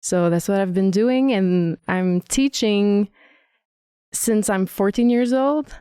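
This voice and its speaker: A woman's voice: mid-range voice, slow, dark tone, clean tone, no echo, studio quality. A young adult woman from Montreal with a French Canadian accent, a singer and vocal coach chatting as a podcast guest, laughing often and restarting her sentences. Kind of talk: casual podcast interview.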